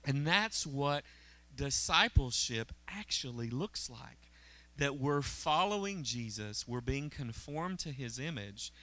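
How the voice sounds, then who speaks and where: A man's voice: mid-range voice, expressive, slow, pushed and bright. A middle-aged man, preaching a conversational sermon on a church stage into a handheld mic and often checking in with the congregation. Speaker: pastor. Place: church auditorium stage, indoors.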